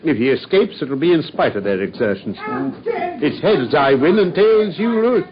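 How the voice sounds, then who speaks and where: Guy acting brainy victorian english detective, commanding n dry, very lively pitch, old dull radio sound w faint hiss. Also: moderate pace, close to an everyday voice. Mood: wry, assured. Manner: assured, wry, commanding. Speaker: actor. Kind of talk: British radio drama acting. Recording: muffled radio recording with faint noise.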